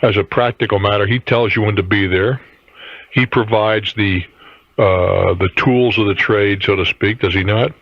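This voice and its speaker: A man's voice: on the low side, steady tone, moderate pace. A man hosting a radio call-in advice show, talking off the cuff in a blunt, argumentative way and readily cutting in. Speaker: radio call-in host giving money advice. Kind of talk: phone-in advice, unscripted conversation. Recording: roomy, dull lo-fi radio tape.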